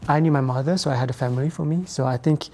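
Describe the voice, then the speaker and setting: A man, a guest telling his life story in an interview, speaking in a reflective, halting way. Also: medium pitch, steady tone, a little mellow. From Ethiopia, raised in France. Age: 20s. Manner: reflective, hesitant, halting phrasing. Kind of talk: conversational interview.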